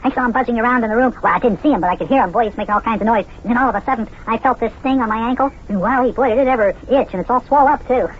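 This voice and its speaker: A performer voicing an excitable, squeaky little boy elf with childlike chatter in an old-time radio comedy. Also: very fast, few pauses, lightly acted. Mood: excited chatter. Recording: dull, noisy old radio recording.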